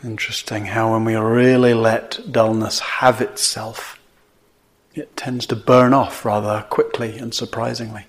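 A man teaching meditation, guiding gently and in a measured way, with pauses between short phrases. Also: fairly low pitch, level tone. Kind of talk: guided meditation.

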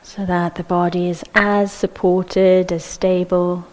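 A woman guides a meditation in a slightly low voice. Her pace is very slow and measured, with gentle phrasing and long pauses as she gives each instruction. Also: little pitch movement, poor audio. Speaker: meditation teacher.

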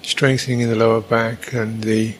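A man teaching a guided meditation, speaking in short, halting phrases with long pauses between them. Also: medium pitch, little pitch movement, slight background noise.

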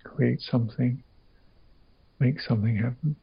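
A man teaching a guided meditation in short, reflective phrases with long pauses, his voice gravelly and husky. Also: medium pitch, dull audio.

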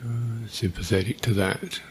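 A man guiding a meditation as a teacher, speaking in an unhurried, halting way with long pauses. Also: on the low side, fairly even intonation, a little hiss or hum. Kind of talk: guided meditation, unscripted.

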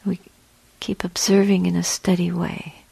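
Woman, meditation teacher, unhurried pauses, gravelly soft voice, gentle guided delivery, dry close sound. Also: on the low side, little pitch movement, no echo, poor audio. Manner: gentle, measured, with long pauses between short phrases.

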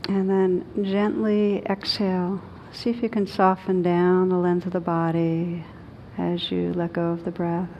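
A woman guides a meditation in a slightly low, mellow voice. Her delivery is gentle, soothing and unhurried, with very little rise and fall and long pauses between phrases. A faint rumbling noise sits under the recording. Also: level tone, muffled recording. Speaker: meditation teacher leading a guided meditation.

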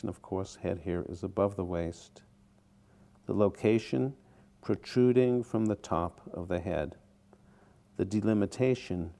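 A man teaching a guided meditation in short, deliberate phrases with pauses between them. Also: deep voice, creaky, mellow.

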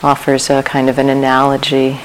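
A woman meditation teacher leading a guided meditation in a calm, measured way, with long pauses between short phrases. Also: very deep voice, a little hiss or hum, low-quality recording.

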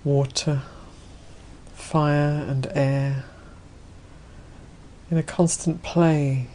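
A woman leading a guided meditation as a Buddhist nun, speaking gently in unhurried, spacious phrases. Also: low voice, steady tone, slight background noise.

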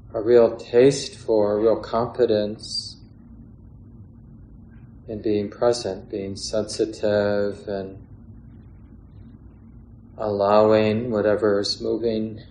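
A man leading a guided meditation as a teacher, speaking calmly and in measured phrases with long pauses. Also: lowish voice. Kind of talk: guided meditation, unscripted instruction. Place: meditation hall or large room. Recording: roomy echo, faint background noise.

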